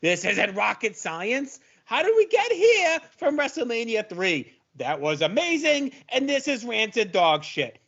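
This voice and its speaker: Man playing an angry, high-pitched ranting fan. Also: sing-song, a little slow, vocal fry, hardly any pauses, fairly bright tone, full character voice. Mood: indignant. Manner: ranting, emphatic, profane. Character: angry, opinionated young male wrestling fan clerk. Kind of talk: comic audio play acting. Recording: dull lo-fi audio.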